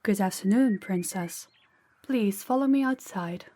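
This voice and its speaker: A woman playing a proud, guarded young princess in an intimate audio roleplay, speaking in a measured, formal, slightly awkward way to the listener. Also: mid-range voice, dark tone, natural voice. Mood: polite, awkward.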